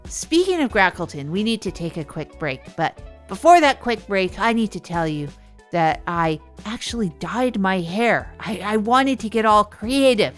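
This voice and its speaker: Awkward, earnest comedy podcast host played by a woman, mid-low female voice, digressive storyteller, faint music. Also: fairly bright tone. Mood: chatty aside. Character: Tog, awkward down-on-their-luck small-town podcast host. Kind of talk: rambling comedic monologue storytelling.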